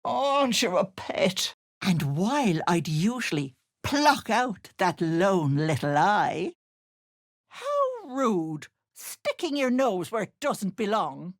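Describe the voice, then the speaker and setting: Old hag, game villain, sugary and menacing, animated swooping pitch, unhurried, dry close sound. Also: female voice, no echo, heavily acted. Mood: falsely sweet, then menacing, scornful. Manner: sugary endearments with sly, mocking menace. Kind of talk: video game character acting.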